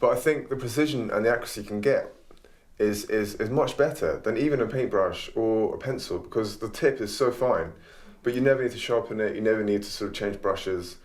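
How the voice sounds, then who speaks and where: A man's voice: lowish voice, brisk. A man, an artist, talking casually about himself in an interview. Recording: echoey room.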